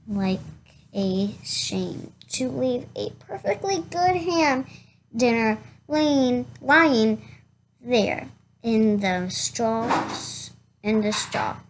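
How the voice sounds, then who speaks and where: Slow, defensive storybook wolf read by a woman. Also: on the high side, fairly bright tone, a little hiss or hum, full character voice. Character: male storybook wolf, chatty, defensive, self-excusing. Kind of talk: children's storybook read-aloud in first person.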